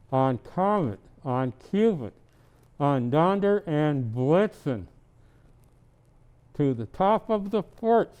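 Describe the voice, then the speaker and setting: A man playing a jolly, kindly old Santa Claus, reading from a storybook slowly and deliberately with chatty asides. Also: fairly high pitch, dark tone, clear, resonant tone. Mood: animated storytelling. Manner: slow, deliberate, kindly, grandfatherly.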